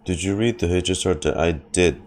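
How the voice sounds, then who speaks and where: A man's voice: sits low, normal speed, slight background noise. A man giving casual commentary as he streams a video game and reacts to it.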